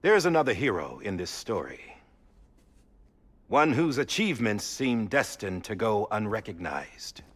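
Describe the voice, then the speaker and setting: Husky, slow-spoken solemn statesman. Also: on the low side, very animated, a bit bright, full character voice. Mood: stirring, earnest. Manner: measured, solemn, rhetorical. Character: solemn statesman delivering a stirring memorial address. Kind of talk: formal speech in a video game cutscene.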